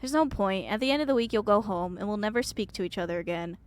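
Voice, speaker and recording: A woman's voice: medium pitch, expressive, quick pace, soft background music, lightly acted. A woman voicing a curt, weary, guarded woman from a story game, terse and dismissive. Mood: weary, resigned.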